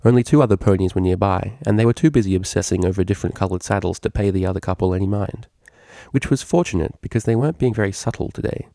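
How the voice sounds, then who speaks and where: A man's voice: low voice, fast, creaky, warm tone, dry recording, studio quality. A man reading a scripted story aloud as its narrator. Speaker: fan fiction reader.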